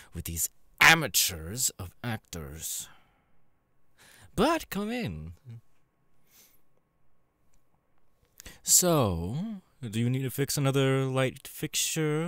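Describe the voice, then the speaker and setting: Man acting as sleazy male demon director, irritable, coaxing, very animated pitch, slow drawl, ASMR roleplay. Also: no room sound. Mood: irritated. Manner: domineering, coaxing, drawn-out delivery.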